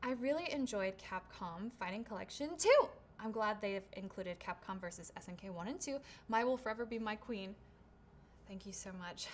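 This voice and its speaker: A woman's voice: medium pitch, animated, moderate pace, a little hiss or hum. A woman voice actor hosting her own livestream Q&A. She reads viewer questions aloud and answers in a chatty, upbeat way, with lots of "right?" and "um". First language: English. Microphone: shotgun studio microphone.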